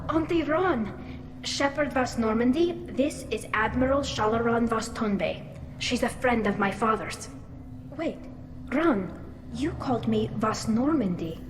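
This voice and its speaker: A woman's voice: a little high, lively intonation, unhurried. A woman playing an earnest, anxious young alien engineer in a video game, speaking precisely through a helmet filter. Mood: emotional. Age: young adult. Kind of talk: video game cutscene acting. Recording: echoey hall, noisy ambience behind.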